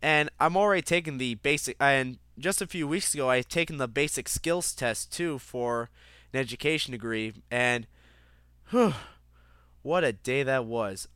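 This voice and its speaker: A man's voice: mid-range voice, moderate pace, a bit bright, clear, resonant tone, no echo, studio quality. A young man hosting his own podcast, talking casually with lots of fillers and restarts, congested from a cold. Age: young adult. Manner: rambling, many fillers and restarts. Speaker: podcast host. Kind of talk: casual solo podcast monologue.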